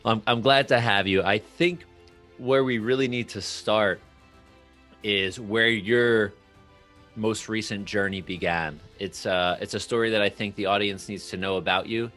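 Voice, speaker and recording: A man's voice: fairly low pitch, fairly even intonation, unhurried, fairly bright tone, soft background music. A man hosting an interview podcast, introducing the show and putting questions to his guest. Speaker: podcast host.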